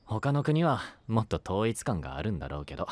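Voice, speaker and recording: A man playing a brusque, easily flustered but earnest young knight in an anime voice drama, with blunt rough casual speech. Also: lowish voice, big pitch swings, brisk, no echo. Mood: resigned.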